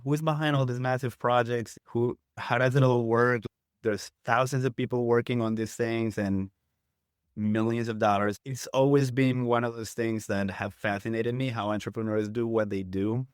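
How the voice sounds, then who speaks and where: A man from Colombia speaks as a guest on a business interview. His voice is mid-pitched and his answers are conversational. The recording is close and dry. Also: fairly even intonation, normal speed, clear, resonant tone, dry recording. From Medellin, Colombia. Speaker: interview guest, CPA and entrepreneur.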